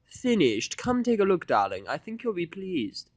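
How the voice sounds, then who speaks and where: Brief: male reader as genteel, fussy female pony designer, raised pitch, affected drawn-out delivery, gracious cartoon manner. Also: huge pitch swings, dry recording, full character voice. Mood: proud, pleased.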